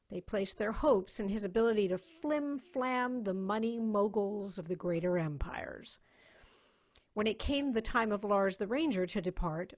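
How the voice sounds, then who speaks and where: A woman reading scripted science fiction narration as the narrator of a dramatic story reading. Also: mid-range voice. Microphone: video call.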